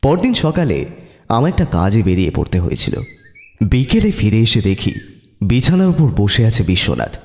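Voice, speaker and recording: A man playing a detective's curious, eager companion who also narrates the audio mystery, with a husky voice. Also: on the low side, very animated, normal speed, a little mellow. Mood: storytelling. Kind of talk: audio story narration and dramatic dialogue. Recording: music behind the voice.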